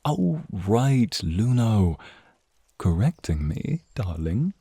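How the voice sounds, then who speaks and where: A man voicing a flirtatious, teasing male naga in an ASMR roleplay, speaking in a seductive, breathy, drawn-out way to the listener. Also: fairly low pitch, sing-song, dark tone, dry recording, heavily acted. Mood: smug, amused. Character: flirtatious, teasing male naga, crimson-eyed protective companion. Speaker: voice actor.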